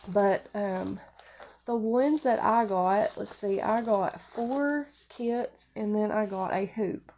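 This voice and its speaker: Casual craft vlogger, woman, stuffy nasal head-cold sound, soft dark tone, slow delivery. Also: fairly high pitch, a little hiss or hum. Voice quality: nasal, congested. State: congested, getting over flu. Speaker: craft video podcaster. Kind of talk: casual product showcase vlog.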